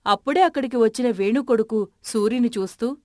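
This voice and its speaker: Woman narrator, slightly high voice. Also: fairly quick, dark tone, dry recording, clean studio sound. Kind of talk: scripted story narration.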